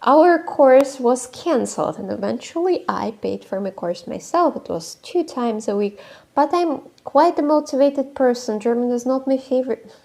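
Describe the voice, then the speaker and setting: A woman's voice: sits high, lively intonation, normal speed, creaky, a little mellow. A woman YouTuber giving casual, frank commentary, hesitating often with fillers and restarts.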